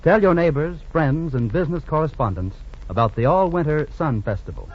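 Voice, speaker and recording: Formal 1940s radio announcer, muffled recording. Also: male voice, a little high, animated, slight background noise. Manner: formal, measured.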